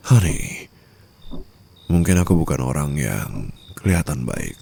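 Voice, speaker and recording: Man playing a dominant rich husband, extremely low voice, intimate taunting murmur, ASMR roleplay, menacing, faint background noise. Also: darkish tone, exaggerated voice. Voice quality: very deep, gravelly. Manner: taunting, intimate murmurs, dropping into whispers, English endearments mixed in. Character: dominant, menacing wealthy new husband toying with treacherous bride.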